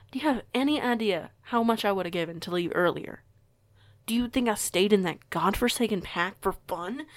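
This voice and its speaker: A woman's voice: mid-range voice, natural voice. A woman playing a young werewolf outsider in an audio roleplay, stammering, sweary and emphatic. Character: young female werewolf outsider, flustered, foul-mouthed, fiery.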